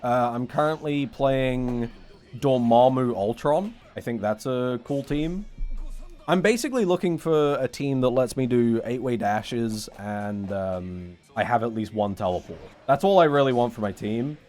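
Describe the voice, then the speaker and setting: Male streamer, wry and rambling, chatting to viewers between matches, mid pitch. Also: a little slow, a little mellow, faint music behind. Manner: joking, sarcastic, rambling. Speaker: streamer talking to chat. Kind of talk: casual live-stream chat while playing.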